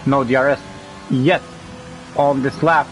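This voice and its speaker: A man with a cold commentating a sim racing league broadcast, rising in pitch when the action heats up. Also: steady tone, measured pace, soft background music, low-quality recording. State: has a cold. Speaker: race commentator.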